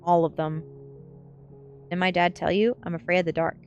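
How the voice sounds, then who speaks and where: An impulsive teenage goth girl from a storybook , played by a woman reader. She talks eagerly and dramatically, jumpy and quick to exclaim, with faint music behind her. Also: fairly low pitch, little pitch movement, a little mellow, muffled recording, natural voice. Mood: nervous pleading.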